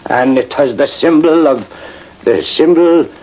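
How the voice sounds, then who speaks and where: An actor playing a proud, sentimental, tipsy Irish cavalry sergeant in a radio drama, rambling and halting with emphatic turns. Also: male voice, medium pitch, expressive, natural voice. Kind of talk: 1950s radio drama acting. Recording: muffled, noisy vintage radio recording.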